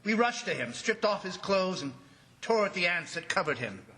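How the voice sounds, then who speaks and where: An actor playing a grave commissioner, an awed eyewitness narrating in a measured, dramatic old radio style. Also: male voice, high voice, big pitch swings, bright, forceful tone, full character voice. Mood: horrified, grave.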